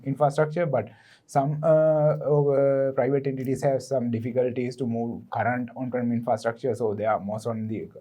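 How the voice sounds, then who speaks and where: A man on a roundtable panel, speaking haltingly and restarting his words. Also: medium pitch, flat delivery, mellow. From Sri Lanka. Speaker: solution specialist, panelist.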